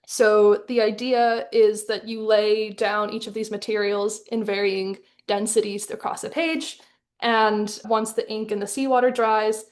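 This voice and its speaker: A woman from Hong Kong giving a prepared artist talk over a video call, speaking in a thoughtful, measured, articulate way. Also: fairly high pitch, darkish tone. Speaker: artist in residence presenting her animated film. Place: live online webinar.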